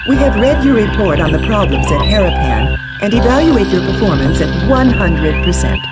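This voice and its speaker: A man's voice: high-pitched, sing-song, moderate pace. A male actor playing a formal, official fleet superior in a video game. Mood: approving. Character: formal Starfleet superior giving commendations. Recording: loud music over the voice.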